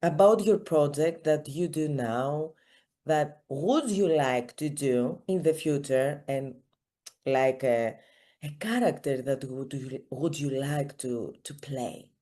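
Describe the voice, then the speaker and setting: Woman host, very low pitch, slow pace, encouraging, non-native phrasing, casual interview chat. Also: warm tone.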